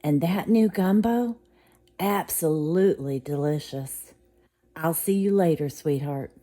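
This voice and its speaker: A woman's voice: deep voice, a little slow, dark tone. A woman voicing a gracious older mother in a bedtime storybook.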